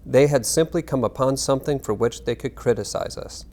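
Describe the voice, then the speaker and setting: Man reading aloud in measured, formal tones. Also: medium pitch, a little mellow. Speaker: reader. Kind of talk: scripted reading of narration and historical text.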